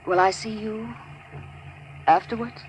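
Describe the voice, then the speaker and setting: A woman's voice: mid-range voice, fairly even intonation, unhurried, lightly acted. An actress playing an ambitious, earnest young American woman lawyer, wary but eager, in a 1940s radio crime drama. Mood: hesitant. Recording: faintly noisy 1940s radio recording.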